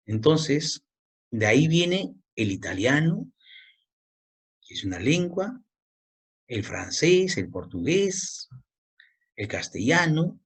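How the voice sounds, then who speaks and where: A man's voice: on the high side, a little mellow, slightly rough. A male teacher giving a language lesson, repeating key points and quizzing the student with questions. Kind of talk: classroom lecture.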